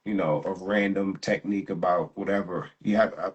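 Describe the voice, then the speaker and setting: A man with a low, slightly husky voice talks easily as the host of a live chat. He is relaxed and slangy, often laughs and repeats his words. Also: moderate pace, dull audio. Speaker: jiu-jitsu instructor and host. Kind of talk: casual live interview chat.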